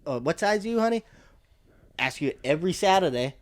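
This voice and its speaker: Animated storytelling man. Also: fairly high pitch, fairly quick, no echo. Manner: animated storyteller, acts out voices. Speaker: podcast guest. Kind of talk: casual chat.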